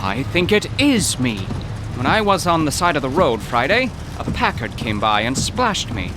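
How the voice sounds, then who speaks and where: A man's voice: a little high, very animated, moderate pace, noisy background. An actor playing a nervous, bookish, college-educated man in an audio drama, speaking in a pompous, wordy, poetic way. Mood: baffled, earnest. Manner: pompous, wordy, flustered, literary diction. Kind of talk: audio drama acting in old-time radio manner.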